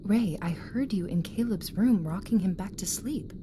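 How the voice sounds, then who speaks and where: An actress playing a high-strung young mother in an audio drama, pointed and questioning with her husband. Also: moderate pace, noisy background, natural voice. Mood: incredulous, worried. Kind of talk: naturalistic audio drama acting.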